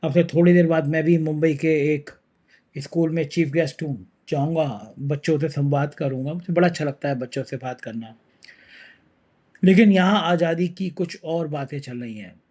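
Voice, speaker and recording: A man's voice: a little high, normal speed, a little mellow. A man hosting a political news talk show, talking with a sore throat in a conversational, emphatic way.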